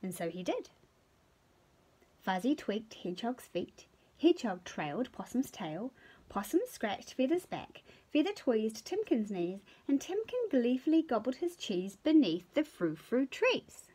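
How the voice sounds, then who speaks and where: A middle-aged New woman reads a picture book aloud at home. Her voice is high and very animated, with slow, playful emphasis and hushed touches. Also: slightly rough. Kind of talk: expressive children's story reading. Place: small furnished room at home.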